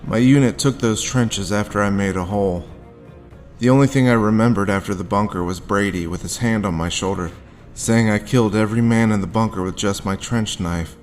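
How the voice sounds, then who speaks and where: An actor playing a grim, haunted war veteran, telling his story deliberately in a noir audio drama. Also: on the low side, soft background music, natural voice. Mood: grim, tense. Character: haunted hard-boiled male war veteran recounting his grim past.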